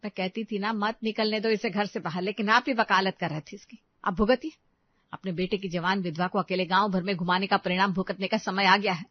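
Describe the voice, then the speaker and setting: A woman's voice: mid-range voice, fairly even intonation, a little fast, dry recording, dull audio, lightly acted. A woman voicing a domineering, spiteful middle-aged mother-in-law in a melodramatic audio story, harsh and taunting. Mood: bitter reproach.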